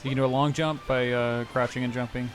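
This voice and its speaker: Fast-talking man joking over gameplay. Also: medium pitch, flat delivery, over music. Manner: jokey, chatty interjections. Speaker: co-host commenting on gameplay and chat. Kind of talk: casual jokey game commentary.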